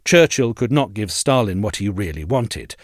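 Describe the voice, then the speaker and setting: A man reading a scripted history essay aloud as narrator, in a measured, articulate and formal delivery. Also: on the low side, sing-song, no echo, clean studio sound.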